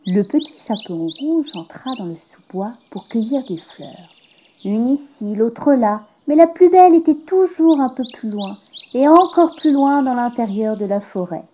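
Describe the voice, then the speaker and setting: A woman reading a children's fairy tale aloud, gentle and expressive, sometimes dropping to a hush. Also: fairly high pitch, unhurried, highs cut off, a little hiss or hum. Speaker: storyteller.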